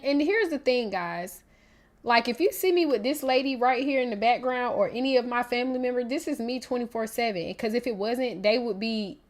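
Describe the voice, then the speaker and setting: A young woman with a North Carolina Southern accent, hosting a casual livestream with a stuffy nose and chatting straight to her viewers. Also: a little high. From Raleigh, North Carolina. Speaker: livestream host.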